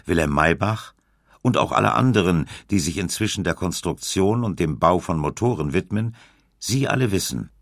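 A man reading a scripted documentary narration in a measured storytelling way with deliberate emphasis. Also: sits low, no room sound, clean studio sound. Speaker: audiobook narrator.

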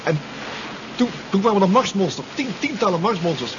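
Halting submarine pilot, engine roar behind. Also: male voice, high voice, big pitch swings. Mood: shaken, apologetic. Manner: halting, stammering, repeats himself. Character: distraught, exhausted submarine pilot reporting by radio. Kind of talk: 1970s radio drama acting. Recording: dull radio play recording, loud engine noise.

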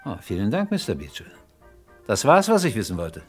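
An actor playing a courteous but insistent police inspector in a vintage German radio crime drama, measured and probing. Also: male voice, on the low side, wildly swooping pitch, faint music behind.

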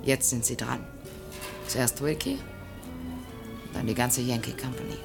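Determined young female plotter: low, gravelly, commanding, radio drama with clear music underneath. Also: fairly even intonation, exaggerated voice. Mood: conspiratorial, determined. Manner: brisk, commanding, conspiratorial. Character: bossy, determined young Brazilian conspirator, daughter of an official. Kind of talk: German radio play acting. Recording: studio radio play with prominent music.

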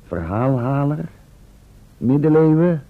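A male actor playing a calm, dry and helpful companion in a radio play, on a narrow old recording. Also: a little high, takes it slowly, noisy background, exaggerated voice.

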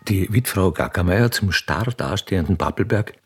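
A man with an Austrian accent reads stage directions in a dramatic reading, with a husky, gravelly voice and a dry, deliberate delivery. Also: sits low, expressive. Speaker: reader performing a play with all its parts.

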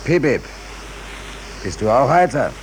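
A stubborn, defiant seaman in vintage radio drama style. His pitch is medium and leaps about as he protests. The old recording is clearly noisy. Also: big pitch swings, a little slow. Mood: wary, stubborn. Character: defiant, indignant stateless American seaman. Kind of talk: 1940s German radio drama acting.

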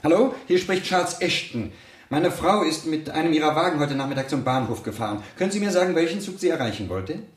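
An actor playing a worried, insistent husband in a German radio crime play, with clipped, pressing questions. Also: male voice, on the high side, lively intonation, quick pace, reverberant, natural voice.

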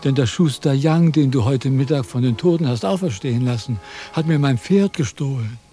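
An actor playing a vain, fearful wandering philosopher in a radio play, plaintive and theatrical. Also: male voice, mid-range voice, normal speed, mellow, natural voice. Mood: pleading, aggrieved.

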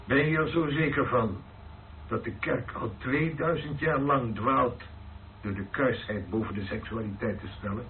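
A man acting a probing senior churchman in a Dutch radio play, speaking in a measured, solemn, questioning way. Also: medium pitch, lightly acted. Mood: challenging. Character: probing senior churchman, a priest's spiritual mentor. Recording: noisy, narrow phone-like old radio recording.

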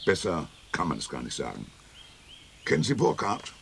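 An actor playing an ironic European thinker who lectures emphatically in a radio play. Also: male voice, huge pitch swings, moderate pace, creaky, fairly bright tone, slight background noise, exaggerated voice. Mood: lecturing. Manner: emphatic, lecturing, swings pitch widely.

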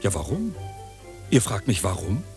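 An actor playing a flamboyant, grandiloquent uncle who performs in a nightclub, in a pompous, theatrical radio play style. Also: male voice, lowish voice, huge pitch swings, moderate pace, gravelly, full character voice. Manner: pompous, theatrical, florid declaiming. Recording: music playing behind.

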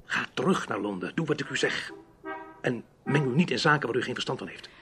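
An actor playing a sly, menacing gentleman who speaks with theatrical, teasing courtesy in a Dutch radio drama. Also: medium pitch, animated, a little fast, music in the background, close to an everyday voice. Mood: insinuating, condescending. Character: sly, menacing foreign gentleman lurking in the dark.

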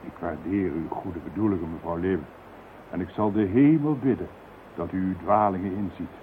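A man's voice: low voice, moderate pace, slight background noise, lightly acted. An actor playing a devout, grieving man who speaks in solemn, preaching tones. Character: devout frightened grieving brother, middle-aged man. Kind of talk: radio play acting. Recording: tinny lo-fi old radio recording.

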